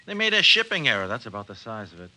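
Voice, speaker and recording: Flustered, stammering husband, bright voice. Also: male voice, mid-range voice, big pitch swings, quick pace, rough recording. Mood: excited, protesting. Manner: stammering, repeating himself. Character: excitable, flustered husband, a keen hobbyist kit-builder. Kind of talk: 1950s radio drama acting. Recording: old radio broadcast with faint noise.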